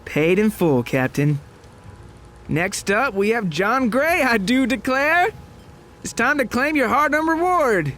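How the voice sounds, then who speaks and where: Man voicing a high-pitched, mock-courteous genteel villain. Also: sing-song, takes it slowly, sharp tone, slight background noise, exaggerated voice. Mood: gloating, mock-courteous. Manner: mock-courteous, grandiose, taunting. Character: murderous, gleefully polite villain, genteel maniac. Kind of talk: audio horror story character acting.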